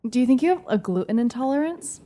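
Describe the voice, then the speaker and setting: A woman's voice: sits high, animated, quick pace, mellow. A woman co-hosting a podcast in casual chat, teasingly prompting the others with questions. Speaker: podcast co-host.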